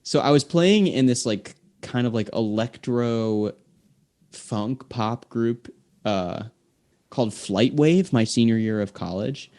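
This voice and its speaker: A man's voice: mid-range voice, animated, takes it slowly, darkish tone. A young man, a singer-songwriter guest, chatting casually and telling long, rambling stories packed with filler words. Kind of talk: casual chat.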